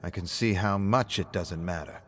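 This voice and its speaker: A man voicing a wry bartender who gently chides an old friend in an audio story. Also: sits low, normal speed, a little hiss or hum. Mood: probing, sarcastic.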